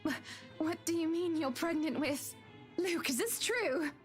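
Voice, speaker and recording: An actress playing a refined, proud, wronged wealthy wife of about thirty in a visual novel, with an imperious manner. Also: female voice, very high voice, unhurried. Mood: shocked. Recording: music behind.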